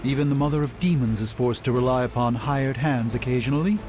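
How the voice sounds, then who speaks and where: An actor playing a pompous, learned sorcerer in a video game, speaking in a grandiose, lecturing way. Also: male voice, medium pitch, fast, lightly acted. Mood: grave. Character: pompous, theatrical, learned male sorcerer and mystic. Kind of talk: theatrical video game dialogue. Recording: thin phone-like band, music behind.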